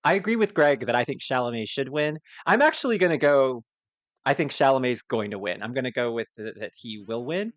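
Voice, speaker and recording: Male podcast panelist, medium pitch, halting thinking-aloud delivery, dry close sound. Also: lively intonation, a bit bright, no room sound. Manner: halting, repeats words as he reasons. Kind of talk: casual awards discussion.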